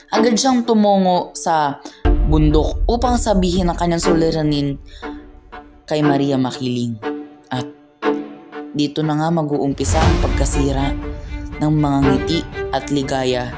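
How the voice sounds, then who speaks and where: A woman narrating a folk tale in a slow, drawn-out storytelling manner. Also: low-pitched. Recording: faint music behind.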